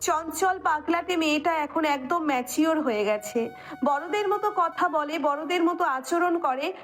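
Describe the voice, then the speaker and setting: Very high-voiced woman narrating a story. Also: a little slow, a bit bright. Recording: music bed under the voice.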